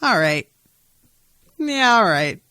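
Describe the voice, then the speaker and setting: A woman's voice: medium pitch, takes it slowly, clear, resonant tone, no echo. A woman hosting a podcast, casually recapping a TV episode in a wry, sweary way and acting out bits of dialogue. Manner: wry, sweary, animated retelling with acted-out quotes. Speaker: podcast host.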